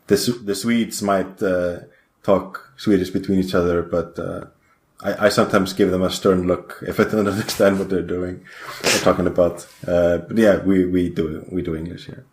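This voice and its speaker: A man's voice: low-pitched, fairly even intonation, normal speed, a little mellow, reverberant, slight background noise. A man from Iceland, a guest programmer chatting in a podcast interview, rambling with lots of fillers. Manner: rambling, hesitant with many fillers.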